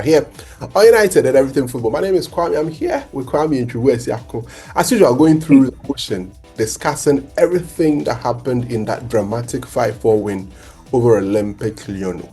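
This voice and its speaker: A man hosting a casual football chat show, excitable and animated, quick to laugh. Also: medium pitch, husky. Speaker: podcast host.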